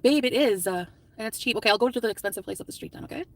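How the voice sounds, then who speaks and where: A woman's voice: fairly high pitch, rapid-fire, fairly bright tone, far from the mic. A woman in her forties live-streaming outdoors, complaining and ranting. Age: 40s. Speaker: livestreamer.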